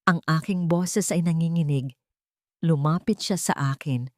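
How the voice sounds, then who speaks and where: A woman's voice: sits low, unhurried, darkish tone, no echo, studio quality. A woman with a Filipino accent narrating a scripted, dramatic romance story.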